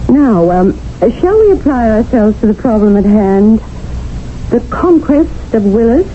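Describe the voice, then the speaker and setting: A playful worldly Englishwoman, teasing, animated pitch, phone-thin 1970s radio, faint hiss. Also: a little slow. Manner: teasing and light, with lively swings of tone. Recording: tinny 1970s radio broadcast with faint noise.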